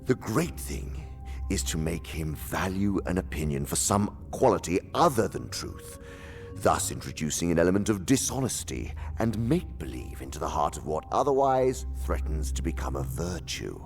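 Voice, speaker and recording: An actor playing a haughty, scheming senior demon who lectures in a pompous, theatrical, condescending way, in a radio drama. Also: male voice, sing-song, moderate pace, faint music behind. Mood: lecturing, sly. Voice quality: very deep, gravelly.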